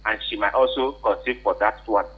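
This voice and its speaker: Husky Nigerian man on a tinny phone line. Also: on the low side, fairly quick. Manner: insistent, questioning, repeats points for emphasis. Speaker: call-in contributor. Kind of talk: unscripted advice on air.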